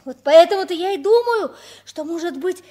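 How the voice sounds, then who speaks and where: A woman's voice: very high-pitched, animated, normal speed, mellow. An actress playing an earnest, hopeful nine-year-old orphan boy in a radio play, childlike and wistful. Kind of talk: 1960s Soviet radio play acting.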